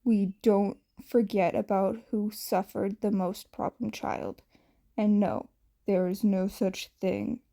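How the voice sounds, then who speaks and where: A woman voicing a stern, protective man in a story with a deadpan, matter-of-fact manner. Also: mid-range voice, slow pace, vocal fry. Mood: calm, explaining.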